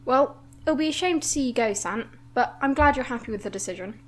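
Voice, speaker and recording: A woman's voice: a little high, animated, brisk, a bit bright. A woman playing an affable, businesslike colony administrator in a science-fiction audio drama. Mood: genial, teasing.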